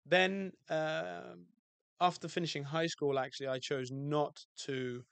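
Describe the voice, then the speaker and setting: Relaxed British man, flat even tone. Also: fairly high pitch, measured pace, gravelly, clear, resonant tone, no echo. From UK, outside London. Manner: relaxed, reflective, many fillers and restarts. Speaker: guest, hotel owner. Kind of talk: casual podcast interview.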